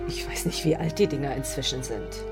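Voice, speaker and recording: A woman's voice: very deep voice, expressive, fairly quick, loud music behind the voice. An actress playing a genteel married woman under suspicion, airy, rueful and evasive, in a radio crime drama. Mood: evasive, uneasy.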